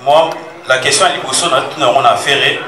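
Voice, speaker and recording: A man's voice: medium pitch, fairly even intonation, a little fast, bright, forceful tone. Journalist man, distant and echoey. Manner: explains terms, mixes French and Lingala. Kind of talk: asking questions at a press conference. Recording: echoey room, distant mic, crowd noise.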